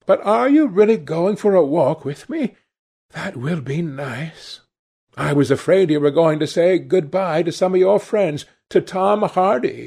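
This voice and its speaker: A male reader acts out an affectionate young woman from a novel. The voice is pitched well up and a little breathy-rough, girlish and gushing, full of playful eagerness. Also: a little mellow, heavily acted.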